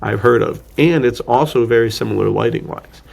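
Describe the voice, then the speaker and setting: A man's voice: medium pitch, a little fast, gravelly, a little mellow. A man co-hosting a podcast, discussing film casually and thinking aloud in a rambling, slangy way. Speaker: podcast co-host.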